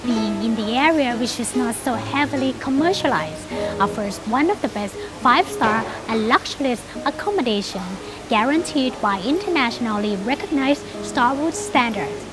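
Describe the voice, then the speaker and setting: A woman presenter with a fairly high voice delivers narration and interview questions in a polished manner. Music is clearly audible behind her. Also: animated, normal speed, few pauses, poor audio. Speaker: TV host and interviewer.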